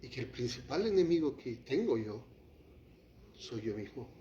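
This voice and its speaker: A man preaching a sermon as pastor, shifting from quiet, low passages to raised, heated lines. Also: big pitch swings, normal speed, a little hiss or hum. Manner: impassioned preaching, drops to quiet, then rises to acted outbursts. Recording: echoey hall, muffled lo-fi sound.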